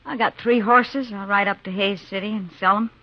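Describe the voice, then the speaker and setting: A woman's voice: medium pitch, fairly even intonation, normal speed, no echo, lightly acted. An actress playing a bitter, self-reliant young American frontier woman in a 1950s radio western, curt and guarded. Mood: defiant, weary. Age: young adult. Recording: dull, dry 1950s radio recording.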